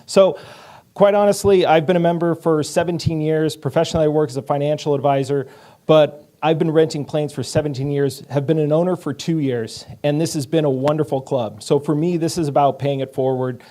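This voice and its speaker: A man with a relaxed, mellow voice set a little high speaks as a candidate. His delivery is unprepared and informal, modest and casual. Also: fairly quick. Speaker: candidate giving a speech.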